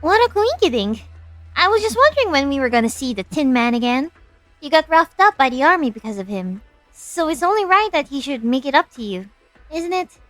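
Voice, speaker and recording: A woman playing a sassy, anxious young lab director in an expressive, flippant fan-dub style. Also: high voice, a little fast, dry recording, faint music behind, poor audio. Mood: breezy. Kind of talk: fan-dub game drama acting.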